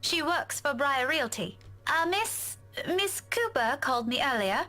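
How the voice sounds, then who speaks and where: A woman's voice: high voice, expressive, a little slow, sharp tone, exaggerated voice. An actress playing a polite, anxious woman in a visual novel game. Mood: polite, friendly. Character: polite, anxious woman looking for her friend. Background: music.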